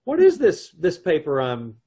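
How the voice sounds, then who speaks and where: A man's voice: a little high, wildly swooping pitch, unhurried, warm tone, slightly rough, full character voice. A man acting an exasperated, sarcastic husband who also narrates in an old-time radio comedy, recorded over a video call. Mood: wry. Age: middle-aged. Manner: dry, incredulous, blustering. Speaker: amateur radio troupe actor. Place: home room, on a video call.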